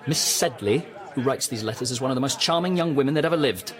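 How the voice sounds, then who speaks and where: A man's voice: mid-range voice, fast talker, close to an everyday voice. A man playing an honourable, long-suffering young English army officer in a period radio drama, earnest and reproving. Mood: indignant.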